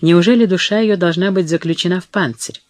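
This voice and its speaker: A reader playing a proud young wife who speaks with pleading indignation in a storybook reading. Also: female voice, on the low side, fairly quick, darkish tone, dry recording, natural voice. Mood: indignant.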